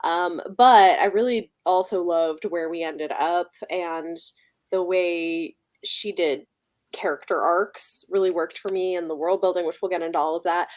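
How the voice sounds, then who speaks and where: A woman's voice: on the low side, steady tone, a little slow, a little mellow. A woman speaking casually as a panelist in a group book discussion.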